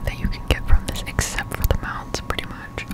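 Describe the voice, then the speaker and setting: A young woman whispering casual ASMR game commentary in a breathy voice, close to the microphone. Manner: casual, slangy, unhurried chat. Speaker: gaming ASMR streamer. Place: indoor room at home. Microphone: close-up Blue Yeti microphone.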